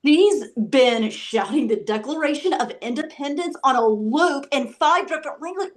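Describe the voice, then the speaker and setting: A woman's voice: sits high, expressive, normal speed. A woman acting a combative, wary tough female character in a comedy table read, challenging and skeptical. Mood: exasperated.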